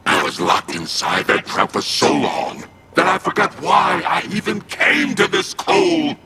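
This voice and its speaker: Gravelly, wildly swooping evil spirit. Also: male voice, high-pitched, wildly swooping pitch, measured pace, heavy background noise, full character voice. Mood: haughty, impatient. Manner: theatrical villainous grandiosity. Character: menacing, boastful possessing spirit bent on destroying the world. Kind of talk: video game cutscene acting. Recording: loud music behind the voice.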